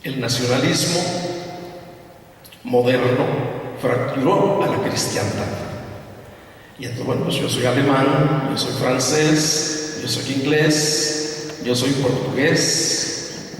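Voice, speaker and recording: A man with a Mexican accent giving a slow, deliberate academic lecture, asking rhetorical questions. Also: medium pitch, a little hiss or hum. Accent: Mexican Spanish. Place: lecture hall. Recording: echoey hall from far off.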